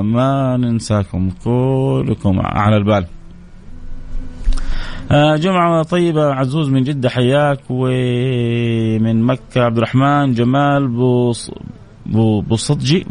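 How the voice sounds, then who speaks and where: A man's voice: medium pitch, creaky, a little mellow. A man hosting a live radio program, reading out listeners' names and messages and answering each with a blessing.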